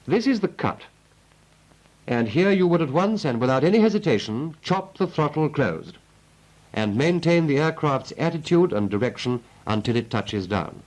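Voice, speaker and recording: A man's voice: fairly high pitch, sing-song, moderate pace, a little mellow, low-quality recording. A British man narrating a 1940s military training film as an instructor, in a clipped, methodical and instructive manner.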